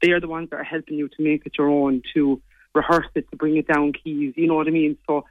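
A young Irish woman with a low voice, chatting quickly as a singer guest in a casual interview. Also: little pitch movement, dry recording, narrow, tinny audio. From Ireland. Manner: quick, chatty, lots of "like" and "you know".

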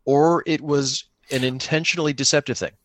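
A man's voice: fairly high pitch, steady tone, brisk, no room sound. A man narrates an improvised tabletop game in a casual, conversational way. He thinks aloud as he goes and jokes around. The sound is dry, with very little room in it. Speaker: co-player running a solo-engine tabletop game.

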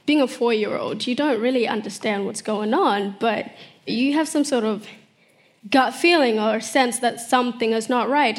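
A young woman from South Sudan, answering interview questions in an earnest, reflective way, often saying "you know". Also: a little high. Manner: earnest, uses many "you know" fillers. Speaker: interview guest, model.

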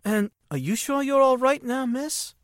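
A woman's voice: high voice, expressive, a little slow, dry recording, clean studio sound. A woman playing a modest, colloquial working-class firefighter in a 1940s-style radio drama. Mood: friendly, modest. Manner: colloquial, unpolished grammar.

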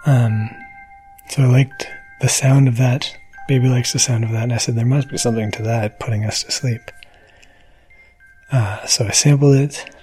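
A man's voice: mid-range voice, fairly even intonation, moderate pace. A man giving a casual spoken introduction to a podcast episode as its producer. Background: soft gentle music.

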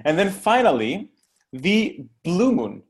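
A man's voice: high voice, very animated, takes it slowly. A man hosting an interview, asking questions and chatting in an informal, conversational style. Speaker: interviewer, host of live Q&A. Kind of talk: casual interview chat.

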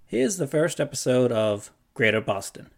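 Podcast host guy, average pitch, reading out a scripted intro. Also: very animated, moderate pace, vocal fry.

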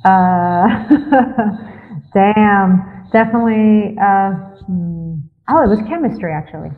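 A young woman from Greece answers interview questions in a relaxed, considered way, often pausing with fillers as she thinks. Her voice sits in a middle range and comes through a narrow, tinny line like a phone call. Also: mid-range voice. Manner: thoughtful, pauses with fillers before answering. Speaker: guest. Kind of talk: casual interview chat.

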